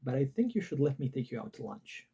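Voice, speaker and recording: A man voicing a calm, wry, sad woman in a fiction reading. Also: mid-range voice, fast talker, vocal fry, breathy edge, muffled recording, heavily acted. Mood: gentle, wry.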